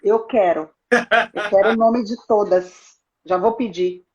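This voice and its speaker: A woman's voice: medium pitch, unhurried, dark tone, dull audio, slight background noise. A Brazilian woman hosting a casual interview, agreeable and encouraging in the way she responds. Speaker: interview host.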